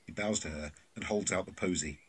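Low-pitched male drama narrator. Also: a little fast, husky. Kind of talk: scripted narration of stage directions.

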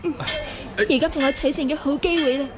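A woman's voice: sits high, fairly even intonation, fast talker, very noisy, close to an everyday voice. An actress with a Hong Kong Cantonese accent playing a coy, teasing young swordswoman in a 1980s radio drama. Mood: scheming. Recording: 1980 radio drama, loud music and crowd.

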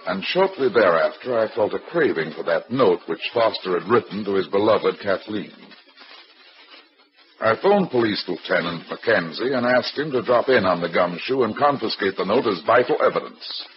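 A hard-boiled detective, played by a man, tells his case in a low voice with dry wisecracks. It is old-time radio drama, muffled and lightly hissy. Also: lively intonation, moderate pace, natural voice. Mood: dry, wry. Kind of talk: 1940s radio drama acting. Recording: muffled, noisy vintage radio recording.

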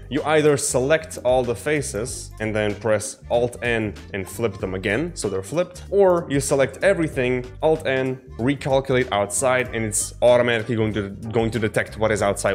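A man in his twenties hosts a casual tutorial with a medium-pitched voice. He explains things informally, talking straight to the viewer. He is close on a studio microphone in a small room, with music playing behind him. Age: 20s. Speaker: software tutorial host. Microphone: close studio microphone on boom arm. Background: background music.

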